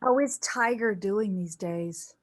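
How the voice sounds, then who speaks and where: An actress in a staged play reading, playing a reproachful dead mother who loves golf, speaking deliberately. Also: medium pitch. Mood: dry, wistful. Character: narrator's dead mother, scolding golf-loving elderly housewife ghost.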